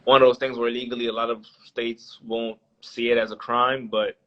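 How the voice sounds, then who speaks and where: A man's voice: medium pitch, level tone, moderate pace, sharp tone. A man in his late twenties, a podcast guest talking casually with lots of fillers and restarts. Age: 20s. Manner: rambling, many fillers and restarts. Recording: dull lo-fi sound.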